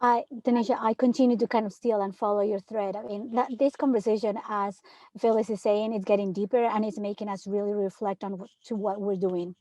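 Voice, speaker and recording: A woman's voice: medium pitch, steady tone, a little fast, dark tone, dry recording, rough recording. A woman from Peru, a third grade teacher, speaking earnestly on a panel discussion and often asking "right?". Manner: earnest, emphatic, many rhetorical "right?".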